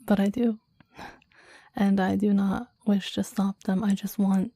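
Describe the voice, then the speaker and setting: A woman's voice: medium pitch, level tone, unhurried, dark tone, no echo. A woman playing a clingy, devoted demon lover in an intimate ASMR roleplay, whispering breathily and reverently in formal, old-fashioned phrasing, close to the microphone. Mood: yearning, tender. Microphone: close microphone, dry.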